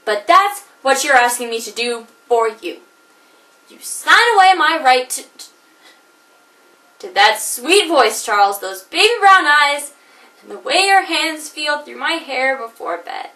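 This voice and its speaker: A woman acting out a jilted wife who jokes to keep from crying. Her very high, bright voice swings widely between wry jabs and fragile moments. It is heard from a distance, with room echo around it. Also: lively intonation, a little slow, heavily acted. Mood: wistful. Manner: wry, theatrical, teetering between laughter and tears. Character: jilted wife, laughing through tears. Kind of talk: comedic monologue performance.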